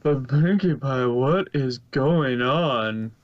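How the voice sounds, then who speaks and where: A man voicing a refined, dramatic female unicorn, drawn-out and prim, prone to hysterics, on a muffled home recording. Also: mid-range voice, no echo, natural voice. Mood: alarmed.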